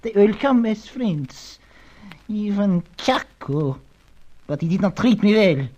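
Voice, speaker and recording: A man's voice: high voice, lively intonation, slow, a little mellow, full character voice. A man playing a boastful, menacing, reclusive mad scientist in a melodramatic adventure audio drama, with grandiose, theatrical delivery. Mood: bitter, menacing. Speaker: villain. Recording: dull lo-fi audio.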